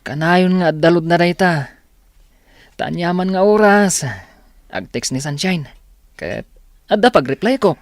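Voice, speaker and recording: A man's voice: sits high, measured pace, long pauses, no echo, clean studio sound. A man with an Ilocano accent playing a shy, lovestruck, hardworking village young man in a radio drama, hesitant and stammering when put on the spot. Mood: earnest.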